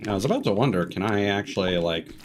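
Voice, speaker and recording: A man giving casual live video game commentary, rambling and thinking out loud as he plays. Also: fairly low pitch, animated, fast, background noise. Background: game music.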